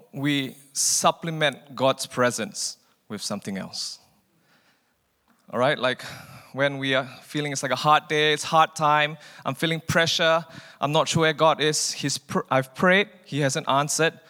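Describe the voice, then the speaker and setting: A man from Malaysia preaches as a guest speaker. He talks in a casual, conversational way and often stumbles over the first word of a phrase. His voice sits at an ordinary pitch and has a long reverberant tail, as if he is speaking in a church hall. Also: fairly bright tone. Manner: casual, chatty, repeats words when starting a phrase. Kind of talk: informal sermon.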